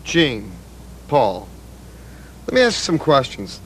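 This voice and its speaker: A man interviewing musicians, hesitant and halting with many fillers. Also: mid-range voice, huge pitch swings, a bit bright, a little hiss or hum.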